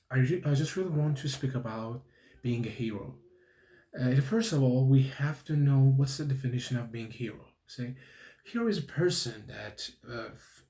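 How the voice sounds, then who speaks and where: A man, hosting a solo podcast in English. He talks in a halting way, restarts often and keeps checking in with "okay?". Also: medium pitch, dark tone, roomy sound. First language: Persian. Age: 20s. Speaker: podcast host. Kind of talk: casual solo podcast monologue.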